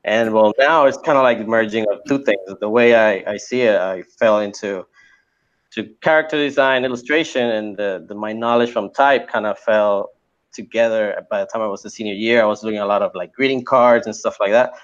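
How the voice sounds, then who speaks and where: A man's voice: lowish voice, moderate pace. A man in his forties from Puerto Rico, a native Spanish speaker, talking as a guest in a casual interview with many fillers and restarts. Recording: dull lo-fi sound.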